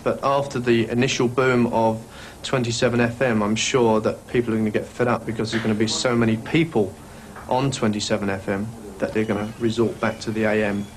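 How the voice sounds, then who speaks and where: A man's voice: on the low side, level tone, brisk. Man interviewed over faint noise. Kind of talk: informal interview opinion.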